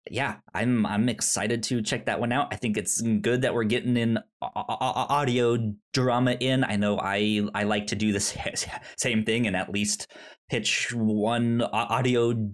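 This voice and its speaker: A man's voice: medium pitch, fairly even intonation, unhurried. A man co-hosting a podcast in casual chat, often stammering and repeating the first sounds of his words. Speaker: podcast co-host.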